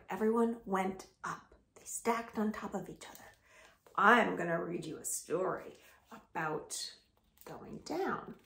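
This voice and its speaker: A woman reading picture books aloud to young children at home, very expressively, with drawn-out words. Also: on the high side, creaky. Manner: expressive, with drawn-out words, sound effects and gasps. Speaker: children's storytime reader. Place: indoors at home. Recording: roomy, echoing home recording.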